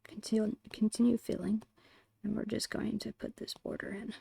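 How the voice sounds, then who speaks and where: A woman softly narrating her digital art in an ASMR style, murmuring in short, breathy phrases close to the microphone. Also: mid-range voice, little pitch movement, moderate pace, no room sound. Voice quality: breathy, whispery. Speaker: digital artist hosting an art channel.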